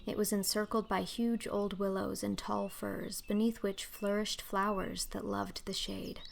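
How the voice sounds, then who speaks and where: A woman's voice: medium pitch, flat delivery, unhurried, creaky. A woman narrating a classic children's novel as a scripted audiobook.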